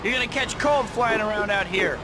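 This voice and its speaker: Man as a high-voiced wisecracking young superhero. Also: fairly quick, music in the background, natural voice, poor audio. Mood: teasing. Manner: teasing, quippy, conversational. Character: wisecracking young superhero man, cocky yet good-hearted. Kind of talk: video game hero acting.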